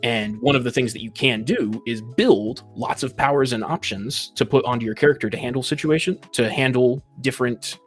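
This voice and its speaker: Husky-voiced man explaining in detail. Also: mid-range voice, brisk, few pauses, soft background music. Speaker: panelist in a tabletop gaming discussion. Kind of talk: casual explanatory discussion.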